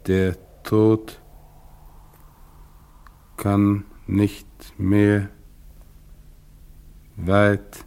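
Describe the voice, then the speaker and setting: An actor playing a middle-aged, exhausted polar expedition captain who is freezing and fading, speaking in halting, resigned fragments with a husky voice. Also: male voice, lowish voice, monotone, dark tone. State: freezing, weakening. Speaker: expedition leader. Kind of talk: radio drama monologue.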